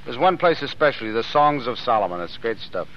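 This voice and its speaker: A mid-pitched American man's voice, an actor as a tough, footloose drifter who talks in brusque wisecracks and slang. The vintage radio sound is muffled, with faint noise. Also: sing-song, brisk, lightly acted. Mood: breezy. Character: tough, footloose, wisecracking Depression drifter with hidden soft heart. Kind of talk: 1930s American radio acting. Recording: muffled, hissy old radio recording.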